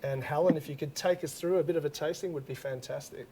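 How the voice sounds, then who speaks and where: A man's voice: fairly high pitch, fairly even intonation, fast talker, crowd noise. Upbeat man hosting a livestream. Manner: upbeat, laughs often. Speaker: host.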